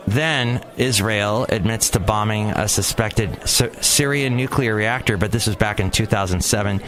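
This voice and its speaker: A man's voice: on the low side, a bit bright. A man hosting his own podcast outdoors near a cafe, giving rambling, opinionated news commentary and stumbling over words. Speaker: podcast host. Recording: outdoor street noise. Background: traffic and city ambience.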